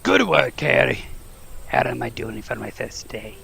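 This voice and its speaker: A man playing a big, kindly, nervous half-giant teacher with a gravelly, raspy voice and flustered, halting delivery. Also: mid-range voice, wildly swooping pitch, sharp tone, slight background noise, exaggerated voice. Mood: pleased, nervous.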